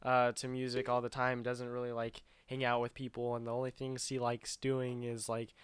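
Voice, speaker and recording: A man's voice: mid-range voice, monotone, normal speed, slight background noise. A man co-hosting a show, chatting casually about video games in a rambling, loosely explained way. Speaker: video game show co-host.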